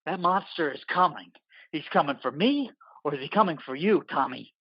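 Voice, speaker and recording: A man's voice: a little high, expressive, moderate pace, slightly rough, no echo, muffled recording, voice pushed up. A man voicing a manic, taunting villain driver in an audio play, gleeful and unhinged. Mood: taunting menace. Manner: taunting, gleeful, maniacal laughing.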